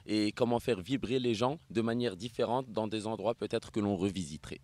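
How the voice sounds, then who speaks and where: A man, Moroccan and born in France, co-founder of an events start-up, pitching his company with upbeat slogans. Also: brisk, a little mellow, no echo. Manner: enthusiastic pitchman, listing and sloganeering. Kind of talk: promo interview.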